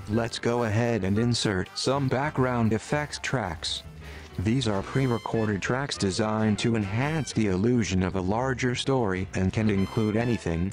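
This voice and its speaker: A man reading a scripted tutorial voice-over in a measured, instructional manner. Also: fairly low pitch. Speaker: tutorial narrator.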